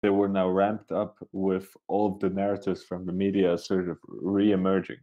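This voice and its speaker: A man from Switzerland co-hosting a podcast in casual, unscripted chat, halting as he searches for words. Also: deep voice, completely flat delivery, highs cut off. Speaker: podcast co-host.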